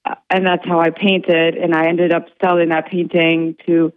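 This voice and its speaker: A woman being interviewed as an artist on the radio, talking in a halting way with many fillers and restarts. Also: fairly low pitch, flat delivery, no room sound. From United States. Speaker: guest artist. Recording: dry, phone-like line.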